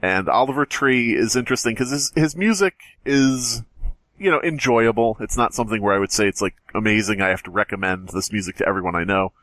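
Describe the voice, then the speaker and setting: A man's voice: lowish voice, fairly quick, a bit bright, dry recording. A man hosting a podcast, telling a story in casual, profane chat. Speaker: podcast host.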